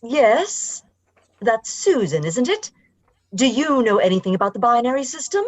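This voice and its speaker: A woman playing a brisk schoolteacher addressing her class in a script reading of a radio drama. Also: on the high side, very animated. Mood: instructive.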